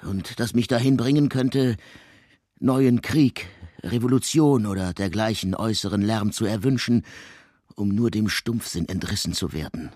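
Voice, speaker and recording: Male actor, despairing ailing composer, radio drama, low husky voice, formal brooding delivery, bleak and weary. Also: normal speed, darkish tone, no echo, clean studio sound, natural voice. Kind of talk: literary radio drama acting.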